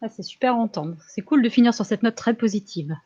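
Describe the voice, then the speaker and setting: A woman's voice: on the high side, lively intonation, fast talker, mellow. A woman casually moderating a panel discussion, recorded with a dull, lo-fi sound. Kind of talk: casual hosting.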